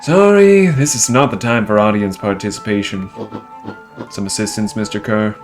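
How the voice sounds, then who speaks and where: A man's voice: fairly low pitch, normal speed, soft background music, close to an everyday voice. A man voicing a gloating, mocking villain for a video game, grandiose, mock-jovial and condescending. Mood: mock cheer. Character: gloating, theatrical male villain, smug and mocking. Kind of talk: character voice reading for a video game playthrough.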